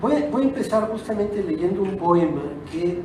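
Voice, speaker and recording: A man's voice: sits high, lively intonation, normal speed, mellow. A man whose first language is Italian, giving an unscripted, reflective talk as a guest author, hesitating and rewording as he goes. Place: auditorium at a book fair. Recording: distant echoey auditorium, noisy background.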